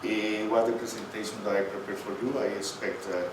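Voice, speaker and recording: A man giving a conference lecture and then answering questions, halting and restarting as he searches for words. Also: lowish voice, little pitch movement. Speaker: invited lecturer on coffee breeding. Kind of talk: conference presentation and panel answers. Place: conference hall. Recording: echoey hall, from far off, with clear background noise.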